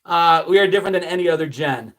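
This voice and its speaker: A man's voice: a little high, quick pace, fairly bright tone, poor audio. A man in his fifties from the United States hosting a live stream, chatting casually, reading out viewer comments and rambling with many restarts. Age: 50s. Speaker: livestream host.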